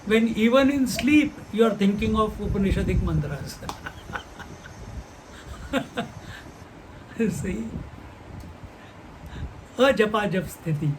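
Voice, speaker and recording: Older male spiritual teacher, high-pitched, unhurried questioning delivery. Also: background noise. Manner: unhurried, posing rhetorical questions; chants Sanskrit verses slowly. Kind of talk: scripture class talk.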